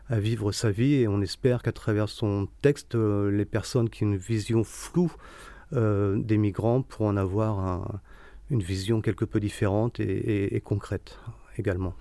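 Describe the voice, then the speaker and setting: Low, mellow man explaining haltingly. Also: fairly even intonation, no echo. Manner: halting, repeats and rephrases words. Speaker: book publisher. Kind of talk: informal interview testimony.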